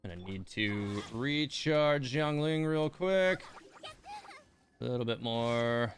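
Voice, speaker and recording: A man's voice: mid-range voice, animated, slow, slight background noise. A man giving casual live game commentary, thinking aloud in broken, hesitant phrases. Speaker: game livestream host.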